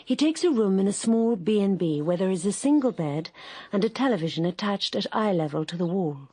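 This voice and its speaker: A woman narrating a radio drama in a measured, gentle voice. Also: mid-range voice, expressive, breathy edge. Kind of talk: scripted narration.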